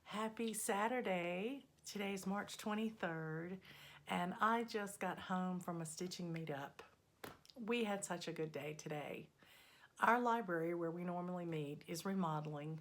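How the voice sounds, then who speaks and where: Woman vlog host, low voice slightly scratchy from a cold, easygoing and friendly. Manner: unhurried, friendly, lots of ums. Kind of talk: casual chatty progress update.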